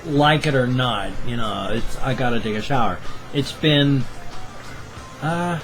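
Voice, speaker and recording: A man's voice: medium pitch, a little slow, music in the background. A man in his fifties rambling through a casual daily vlog at home, with jokey asides, recorded on a webcam. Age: 50s. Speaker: vlogger.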